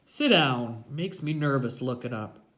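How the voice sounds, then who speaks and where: A woman's voice: rumbling low, lively intonation, measured pace, a bit bright, exaggerated voice. A woman voicing a big, tattooed male gang boss in a story, slangy, curt and mocking. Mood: quiet menace.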